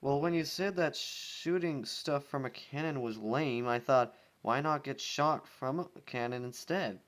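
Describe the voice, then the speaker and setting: A man's voice: medium pitch, measured pace, a bit bright, voice pushed up, lightly acted. A man voicing an oblivious young female cartoon pony, a daredevil with a naive manner, in a story reading.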